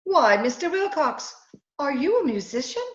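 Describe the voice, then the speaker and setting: A woman's voice: high-pitched, sing-song, moderate pace. A woman playing a long-suffering, teasing wife with dry delivery in old-time radio comedy style. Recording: echoey, dull video call recording.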